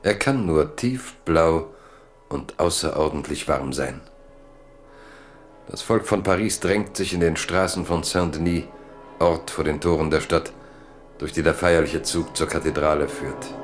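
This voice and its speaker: A man with a deep voice narrates a literary radio play. His storytelling is deliberate and dramatic. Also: faint music behind.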